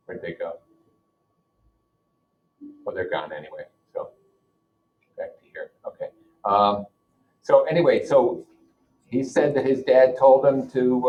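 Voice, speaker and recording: A man teaching a class, reading a novel aloud and breaking off to explain it informally and put questions to his listeners. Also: medium pitch. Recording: dull, lo-fi audio.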